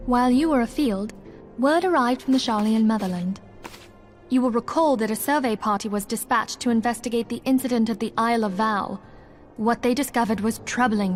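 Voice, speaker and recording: Woman acting fantasy game leader, high voice, formal, measured, solemn, earnest, music underneath. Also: close to an everyday voice. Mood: grave. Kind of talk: scripted fantasy game dialogue.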